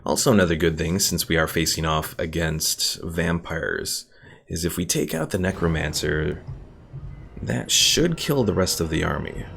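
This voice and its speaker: Deep-voiced man streaming a strategy game. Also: moderate pace, gravelly, fairly bright tone, slight background noise. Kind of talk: casual live gameplay commentary, chatting with viewers. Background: game music.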